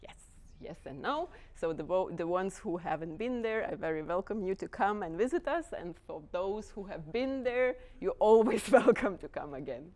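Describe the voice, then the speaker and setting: A woman from Lithuania with a Lithuanian accent, giving an informal lecture to dance students, hesitant, with many pauses and restarts. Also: mid-range voice, expressive, darkish tone. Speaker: guest lecturer, artistic director.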